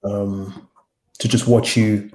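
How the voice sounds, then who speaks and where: A man's voice: lowish voice, flat delivery, takes it slowly, mellow. A middle-aged man hosting a podcast interview over a video call, asking questions in an easygoing way. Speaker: podcast host. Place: home room with pale wall. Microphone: large studio mic in front.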